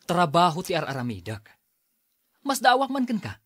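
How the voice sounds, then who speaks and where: A man's voice: high voice, huge pitch swings, normal speed, a bit bright, no room sound, clean studio sound, full character voice. A man acting in an Ilocano radio drama as an irritable, defensive husband, with an Ilocano Filipino accent. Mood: scornful, angry. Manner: exasperated, dismissive.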